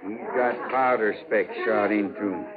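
A man's voice: lowish voice, steady tone, measured pace, over a noisy crowd, natural voice. A man with a rustic American accent playing a plain-spoken, gritty buffalo skinner in a radio western, talking in a folksy way. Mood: uneasy, confiding. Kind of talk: 1950s radio western acting. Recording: narrow-band 1950s radio, background noise.

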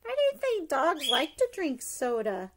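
A middle-aged woman reads a rhyming picture book aloud to young children in an expressive sing-song way, pausing to ask them questions. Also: sits high, background noise. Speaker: preschool story-time teacher reading aloud. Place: living room at home, indoors.

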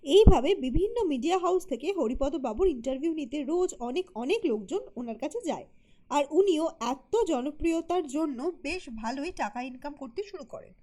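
High, animated woman storyteller. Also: brisk. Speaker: narrator. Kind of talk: scripted cartoon story narration.

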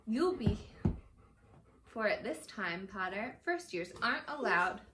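A woman's voice: medium pitch, very animated, takes it slowly. A woman voicing a spiteful, sneering schoolboy bully from a storybook, in an echoey room. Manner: sneering, snappish. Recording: roomy echo, distant mic, faint noise.

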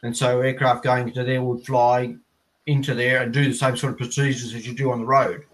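A man's voice: medium pitch, little pitch movement, fairly quick, low-quality recording. An Australian man in his fifties giving conversational explanations as an interview guest on a video call. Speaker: interviewee, air traffic controller. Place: plain indoor room, video call.

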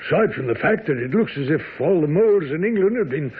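A man acting in a radio drama as a baffled, sceptical gentleman assistant, stammering and incredulous. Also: a little high, expressive, fast talker, slightly rough. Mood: puzzled, doubtful. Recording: thin, tinny radio broadcast.

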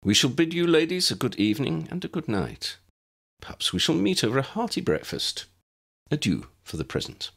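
A man's voice: a little high, big pitch swings, normal speed, gravelly, fairly bright tone, pitched above the performer's own voice, full character voice. A man voicing a very old, courtly wizard uncle in an audiobook, formal, pompous and wry. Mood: droll, pompous. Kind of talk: audiobook character voice.

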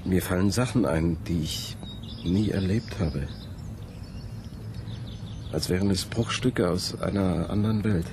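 Brooding, intense low-voiced young student. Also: male voice, measured pace, creaky, pauses often, background noise. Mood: haunted, confiding. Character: troubled young male student haunted by his ancestor's memories. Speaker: radio play actor. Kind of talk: German radio drama dialogue.

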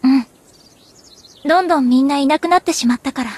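A woman playing a gentle, quiet teenage girl in an anime game, speaking in a reserved, sincere way. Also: sits high, fast, no echo, lightly acted. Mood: quiet, subdued. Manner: soft-spoken, reserved, sincere.

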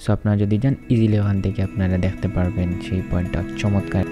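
A man's voice: low voice, little pitch movement, fast talker, over music. A young man narrating a casual travel vlog outdoors, mixing English phrases into his talk. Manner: mixes Bengali with English phrases. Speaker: vlogger interviewing friends. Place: outdoors at a national park.

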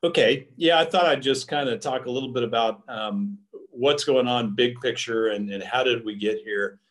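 A man in his fifties, a city official, giving a webinar update from home over a video call, speaking in a measured way with frequent fillers and restarts. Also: mid-range voice. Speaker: city community development director. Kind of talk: webinar presentation. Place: home room. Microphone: laptop webcam on a video call.

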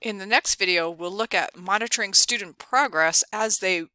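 A woman presenting an instructional screencast, explaining things clearly step by step. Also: mid-range voice, moderate pace, sharp tone, no echo. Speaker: ed tech tutorial presenter. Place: dry close voice-over for a screen recording.

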